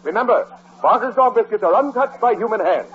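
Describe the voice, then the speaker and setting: A man in a 1940s radio comedy, hamming up a mock commercial in an exaggerated pitchman's manner. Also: animated, brisk. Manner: hammy, exaggerated pitchman delivery. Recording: muffled 1940s radio broadcast with loud hiss.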